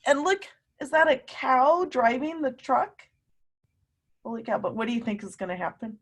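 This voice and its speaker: A woman teacher reading a picture book aloud to young children, animated and emphatic, often putting questions to her listeners. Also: high-pitched. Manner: animated, emphatic, drawn-out words, coaxing young children along.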